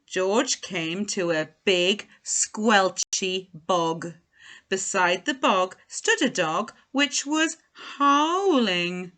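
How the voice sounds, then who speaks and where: Very slow, bright-voiced woman storyteller. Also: mid-range voice, animated. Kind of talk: children's storybook narration.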